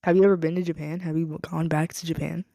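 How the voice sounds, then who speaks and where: Chatty American woman host with gravelly fry. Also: low-pitched, flat delivery, fast, warm tone, no room sound, studio quality. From United States. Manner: chatty, upbeat, slangy. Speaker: podcast host. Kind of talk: casual interview chat.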